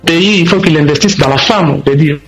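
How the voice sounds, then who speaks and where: A man answering as a radio guest, halting and searching for his words. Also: fairly high pitch, a bit bright, soft background music. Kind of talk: answering questions in a radio discussion.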